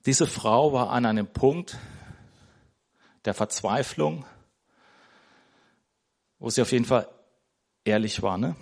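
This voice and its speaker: Male lay preacher, mid-range voice, loose and conversational delivery in a church, stumbling over words and tagging lines with a quick question. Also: medium pitch, creaky, darkish tone. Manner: conversational, tags nearly every sentence with "ne?"; searching for words, restarting sentences. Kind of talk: informal sermon.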